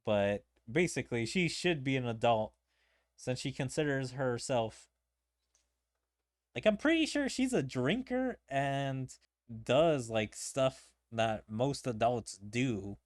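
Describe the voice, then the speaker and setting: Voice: medium pitch, expressive, takes it slowly, dry recording, studio quality. A streamer giving casual, rambling and hesitant commentary while playing through a video game story. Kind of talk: casual gameplay commentary mixed with reading dialogue aloud.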